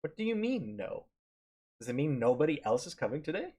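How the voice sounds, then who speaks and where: A man reading a visual novel aloud on a stream, narrating haltingly and often repeating words as he goes. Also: on the high side, very animated, dark tone, slightly rough. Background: music.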